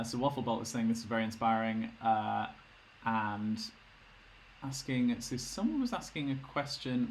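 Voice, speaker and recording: A man's voice: fairly low pitch, a little hiss or hum, rough recording. A man hosting a live stream, hesitant, repeating words as he passes on viewers' questions. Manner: hesitant, repeats words, relays chat comments. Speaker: host and chat moderator.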